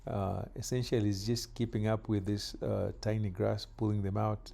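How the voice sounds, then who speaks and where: Gravelly, dark-voiced Kenyan man vlogging. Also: fairly low pitch. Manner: relaxed, chatty, frequent filler pauses. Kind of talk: casual yard-work vlog narration. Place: outdoors in a yard.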